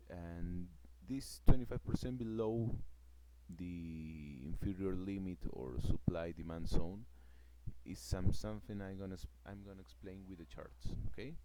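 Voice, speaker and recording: Man, Peruvian Spanish accent, native Spanish speaker, low voice, halting, searching for words, casual vlog, heavy background noise. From Peru. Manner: halting, searching for words, many fillers and restarts. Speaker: forex trader vlogger.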